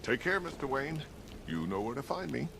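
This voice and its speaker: An actor playing a seasoned, loyal tech supplier in a video game, with a measured, dry and knowing manner. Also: male voice, medium pitch, sing-song, creaky, fairly bright tone, slight background noise. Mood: wry helpful.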